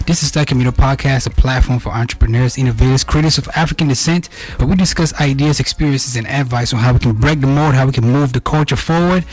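Husky-voiced male podcast host. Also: medium pitch, fast, few pauses. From Cameroon. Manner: energetic, casual slang. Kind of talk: podcast interview.

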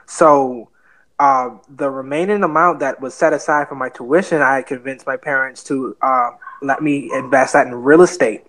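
A man's voice: medium pitch, moderate pace, rough recording. Bahamian man in his twenties. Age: 20s. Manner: relaxed, earnest, many fillers. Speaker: guest real estate investor. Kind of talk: podcast interview.

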